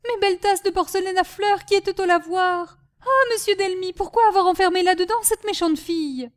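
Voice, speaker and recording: A fretful lady of the house in a storybook , put on by a woman narrator. Her voice sits very high, and she speaks in a dramatic, plaintive, high-strung way. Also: expressive, a little fast, darkish tone. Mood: horrified, plaintive.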